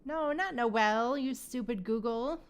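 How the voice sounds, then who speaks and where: High-voiced, unhurried woman streamer. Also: clean tone. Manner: informal, sweary, chatting to viewers. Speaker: livestream host playing a video game. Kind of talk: casual gaming stream chat. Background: game music.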